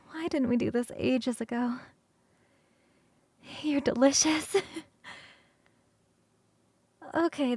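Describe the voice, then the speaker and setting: A woman voicing a playful, hungry and affectionate succubus roommate in an ASMR roleplay, coaxing and teasing with sighs and soft, breathy turns. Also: on the high side, dry recording. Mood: delighted, teasing. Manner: coaxing, sighing, drawn-out savouring, shifting into soft whispers.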